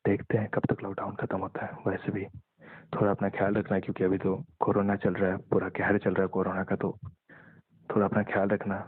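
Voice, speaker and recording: Low, husky man on a phone call. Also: monotone, fairly quick. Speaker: caller talking with an ex-partner. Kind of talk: intimate phone conversation. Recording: muffled narrow-band phone call, echoey.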